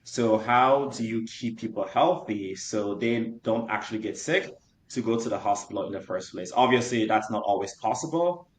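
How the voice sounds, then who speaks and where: Earnest founder in an echoey room. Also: male voice, normal speed. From Cameroon. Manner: earnest, detailed storytelling with fillers. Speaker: startup founder. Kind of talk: interview answers. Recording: roomy echo, faint rumble.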